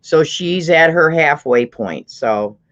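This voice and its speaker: Deep-voiced woman, middle-aged, casual livestream host, slow delivery, muffled audio. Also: expressive, a bit bright. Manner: chatty, laughs often, reads chat comments aloud.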